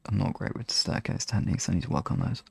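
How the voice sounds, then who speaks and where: A man with a lowish voice and a lot of creak talks in a soft, relaxed way, as an ASMR streamer does with his listeners. The sound is dry and close, and he takes his time in casual chat. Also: fast talker, dry recording. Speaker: ASMR hypnosis live streamer.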